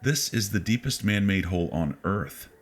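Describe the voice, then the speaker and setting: A man's voice: lowish voice, expressive, normal speed, pitched above the performer's own voice, natural voice. A man voicing a contemptuous, irritable husband in a horror story, curt and condescending. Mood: snapping. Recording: faint background noise.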